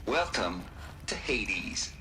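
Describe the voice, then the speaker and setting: A man's voice: lowish voice, very animated, very slow, background noise, exaggerated voice. A man playing a brash, irreverent program leader in a video game, giving a folksy, theatrical presentation. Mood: gleeful, sardonic. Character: brash, irreverent male program leader selling a doomsday failsafe. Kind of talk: dramatic game monologue, colloquial presentation.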